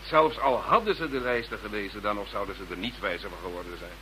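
An actor playing a formal, eloquent government minister who speaks insistently in a radio play. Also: male voice, lowish voice, quick pace, sharp tone. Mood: measured, explaining. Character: Dutch government minister protesting his innocence to detectives. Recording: slightly noisy radio drama recording.

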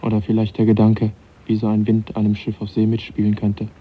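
An actor playing an uneasy, dryly ironic young naturalist in a radio play. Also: male voice, fairly low pitch, monotone, a little fast, husky, dull audio. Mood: brooding. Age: young adult. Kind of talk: 1980s radio play acting.

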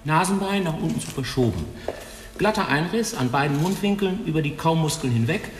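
A man's voice: on the high side, lively intonation, fairly quick, natural voice. An actor playing a big old male pathologist who dictates an autopsy report in a dry, clinical manner, in a radio drama. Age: older. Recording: echoey tiled room, faint hiss.

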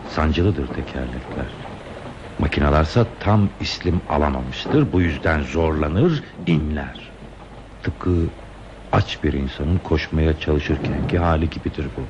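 An actor playing a lonely, talkative man who is dreamily devoted to trains, musing poetically in a radio play. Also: extremely low pitch. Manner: musing, poetic, slow pauses in monologue. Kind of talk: radio theatre acting. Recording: dull, lo-fi radio play tape, hissy.

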